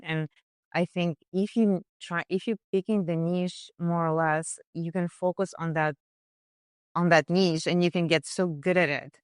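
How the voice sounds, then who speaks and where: A woman nutritionist on a podcast, describing a client case in casual, halting speech full of fillers and restarts. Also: deep voice, clear, resonant tone, no echo, studio quality. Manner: hesitant, many fillers and restarts. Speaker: nutritionist guest. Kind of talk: conversational case discussion.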